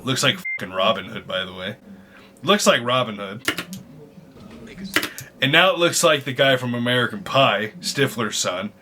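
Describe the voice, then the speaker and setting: A man's voice: medium pitch, expressive, normal speed, long pauses, background noise. A man giving casual, slangy reaction commentary as an online video host, exclaiming and mocking as he goes. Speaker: YouTube host reacting to movie trailers. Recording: music playing behind the voice.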